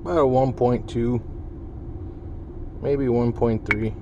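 A man's voice: mid-range voice, fairly even intonation, takes it slowly, dull audio. A man giving a casual hands-on demonstration in a folksy, plain-spoken way, recorded on a handheld device inside a vehicle. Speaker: vlogger demonstrating CB radio gear. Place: inside a parked vehicle cab. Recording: handheld recording with car cabin noise.

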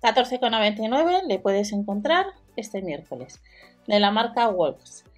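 A woman's voice: mid-range voice, big pitch swings, measured pace, soft background music. A woman giving a matter-of-fact voice-over of store deals, listing prices and sizes. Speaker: deal-video host. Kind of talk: voice-over product walkthrough.

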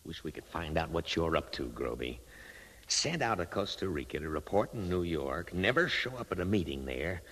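Very deep, gruff guard. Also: male voice, very animated, moderate pace, a bit bright, heavily acted, low-quality recording. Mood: urgent, gruff. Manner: curt, bossy. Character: gruff male guard, secret underground conspirator. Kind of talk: 1950s radio acting.

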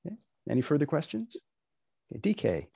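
A man's voice: fairly high pitch, huge pitch swings, fast talker, gravelly, dark tone, breathy edge, no room sound. A man hosting and moderating an online event over a video call. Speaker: host and moderator.

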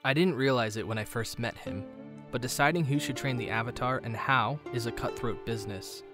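A man playing a kind, earnest teenage boy in an animated fan drama, with a gentle, playful, conversational manner. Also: mid-range voice, a little fast, over music, natural voice. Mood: earnest, reassuring. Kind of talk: fan visual novel voice acting.

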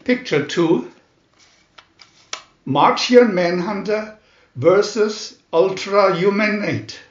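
A man reading scripted children's narration like an announcer, with a deliberate, dramatic delivery. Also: high voice. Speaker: booklet reader and channel host. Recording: roomy echo, dull lo-fi sound.

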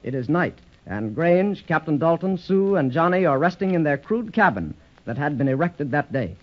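A muffled vintage radio recording carries a male narrator. His voice is slightly high, delivering scripted 1930s serial narration. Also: sing-song, moderate pace.

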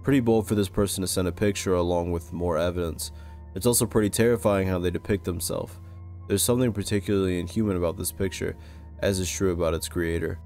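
Low-voiced man narrating over music. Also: fairly even intonation, quick pace, darkish tone. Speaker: video essay narrator. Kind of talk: scripted narration. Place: close-recorded voice-over with music behind.

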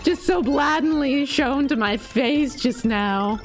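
A woman's voice: high-pitched, takes it slowly. An American woman from Florida chatting casually on a game live stream, playful and self-deprecating. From Florida, United States. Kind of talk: casual live-stream chat while gaming. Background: video game music.

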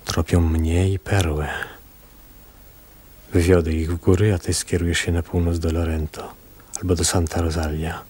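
An actor playing a stern, weary fisherman on the run in a radio drama, speaking in terse, hushed commands. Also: deep voice, little pitch movement, normal speed, slightly rough. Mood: resolute, commanding. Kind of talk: Polish radio drama acting. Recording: radio drama with music behind.

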